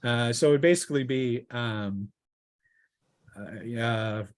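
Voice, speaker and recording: Halting male game master, medium pitch. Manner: halting, hesitant, improvised. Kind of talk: casual tabletop game narration.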